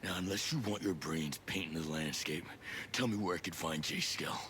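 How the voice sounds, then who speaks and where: A gruff soldier from a video game, with a low-set voice. He is terse and controlled, menacing yet courteous, over faint background noise. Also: moderate pace, a bit bright. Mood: cold threat.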